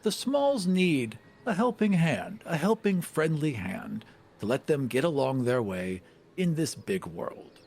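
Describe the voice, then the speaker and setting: A man with a husky voice improvising as a player in a tabletop game, often in mock-solemn, formal phrases. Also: a little high, very animated, unhurried, a little mellow. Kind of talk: improvised tabletop role-play with casual narration.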